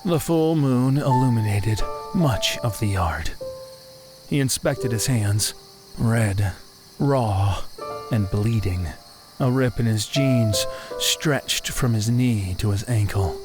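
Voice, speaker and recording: A man's voice: fairly low pitch, takes it slowly, music in the background. A man delivering scripted narration and dialogue in a dramatized audiobook.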